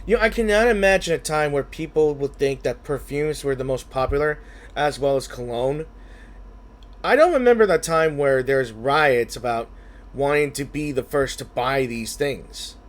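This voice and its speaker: Animated young man doing casual game commentary. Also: medium pitch, normal speed. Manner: reacts aloud, conversational, loosely phrased. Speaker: gaming video host.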